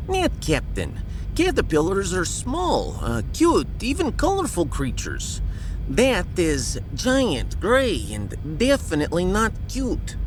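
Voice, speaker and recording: Male actor as sharp-tongued female crew officer, sci-fi audio drama: blunt, sardonic, hugely varied pitch over music. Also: wildly swooping pitch, slow pace, heavily acted, poor audio. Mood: scornful. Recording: music behind.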